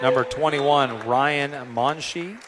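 Slightly hoarse American sports announcer. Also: male voice, medium pitch, slow pace. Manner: rambling, many "uh" fillers and restarts. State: a little hoarse. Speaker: play-by-play announcer. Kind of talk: pregame sports broadcast commentary. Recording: music in the background.

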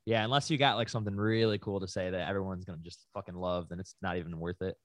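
A male podcast host with a very low voice talks quickly, agreeing readily and keeping the chat moving. The sound is close and dry, with no echo. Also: fairly even intonation. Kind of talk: casual interview chat.

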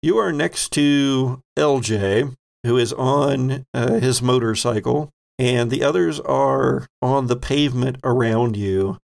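A man narrating a tabletop role-playing game as game master, telling it suspensefully with deliberate pauses. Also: mid-range voice, creaky, darkish tone.

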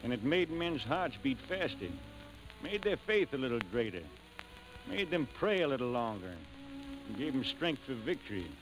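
A man plays a reverent 1940s radio narrator with a medium-pitched voice. He delivers in a stirring, poetic cadence over faint music. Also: very animated, normal speed, lightly acted, rough recording. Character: reverent storyteller of a war hero.

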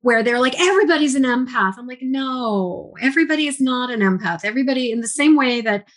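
A woman's voice: high voice, lively intonation, fast talker. Upbeat woman podcast host. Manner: enthusiastic, affirming, many mm-hmms. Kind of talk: casual interview conversation.

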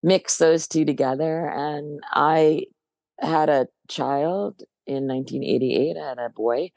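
Older American woman guest, low gravelly voice, hesitant, laughs easily, dry close sound. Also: slow pace, darkish tone, no room sound. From New Jersey, USA. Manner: reflective, hesitant, laughs often. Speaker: guest musician. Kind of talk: casual interview chat.

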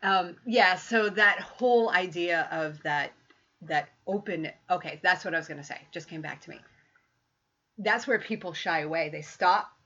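A woman in her fifties co-hosting a casual, heartfelt talk, reflective and quick to laugh. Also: on the low side, pushed and bright. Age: 50s. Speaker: podcast co-host. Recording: dull lo-fi recording.

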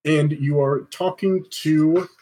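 A man's voice: a little high, fairly even intonation, takes it slowly, warm tone, husky. A man running a tabletop game, narrating casually and informally. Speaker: game master.

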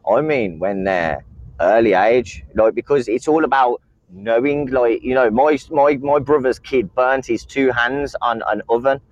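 A man's voice: medium pitch, unhurried, no room sound. Caller in his thirties, loose and rambling, dry and muffled line. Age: 30s. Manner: rambling, repeats words, many fillers. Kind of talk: casual call-in chat.

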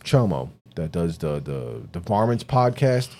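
Deep, lively New York man rambling. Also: measured pace, a little hiss or hum. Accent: New York, Bronx-tinged. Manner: profane, rambling, animated. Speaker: podcast co-host. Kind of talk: casual chat.

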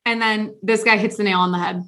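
A woman co-hosting a podcast in casual chat, blunt and animated, swearing freely. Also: mid-range voice, a little fast. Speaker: podcast co-host.

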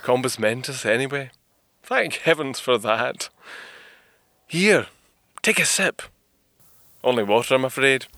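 An actor playing a polite, formal young inventor from the 1930s, speaking in old-fashioned British phrasing in an audio drama. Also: male voice, mid-range voice, lively intonation, a little slow, pauses often, sharp tone, no room sound.

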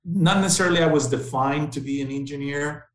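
A man's voice: on the high side, fairly quick, reverberant, poor audio. A man from Mexico, a factory site manager explaining things earnestly in an interview, often repeating words as he restarts. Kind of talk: casual interview conversation.

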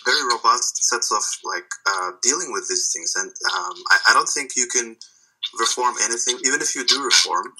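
A man's voice: mid-range voice, moderate pace, sharp tone, rough recording. A man from Khorasan speaking in an online discussion, hesitant with many fillers. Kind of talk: casual discussion.

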